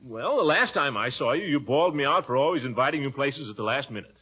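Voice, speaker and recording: A man's voice: medium pitch, animated, quick pace, husky. A man playing a flustered, blustering middle-aged bachelor uncle in 1940s radio comedy, stammering and chuckling through swooping exclamations. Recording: muffled vintage radio recording.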